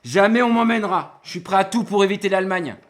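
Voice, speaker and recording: High-pitched, defiant young peasant played by a man. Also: fast, lightly acted. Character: defiant peasant son in his early twenties. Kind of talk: French audio drama acting. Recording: roomy sound, background noise, clicks.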